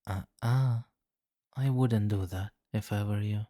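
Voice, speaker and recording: A man voicing a seductive, menacing vampire king in an intimate ASMR fantasy roleplay, slow and commanding, with a condescending, teasing amusement. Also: lowish voice, warm tone, no echo, clean studio sound. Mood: taunting, predatory. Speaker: roleplay voice performer.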